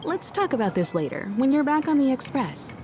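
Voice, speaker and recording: Poised, expressive lady navigator. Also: high-pitched, noisy background. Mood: thoughtful. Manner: composed, measured, gently amused. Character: poised, kindly adult female starship navigator.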